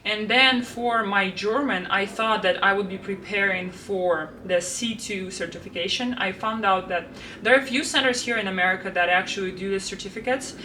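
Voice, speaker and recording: A young woman talks through her plans in a loose, chatty way, circling back with "like" and "you know." Her voice is bright and sharp, picked up from a little distance. Faint background noise sits under it. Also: mid-range voice, normal speed. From Russia. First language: Russian. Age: 20s. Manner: chatty, many fillers and restarts. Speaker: vlogger. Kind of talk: casual planner walkthrough. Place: home room.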